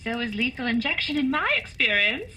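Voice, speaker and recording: A woman's voice: a little high, animated, brisk, pushed and bright, slight background noise, rough recording. A woman playing a put-upon, saucy housekeeper with a dry, sardonic wit in a radio play. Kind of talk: holiday radio play acting.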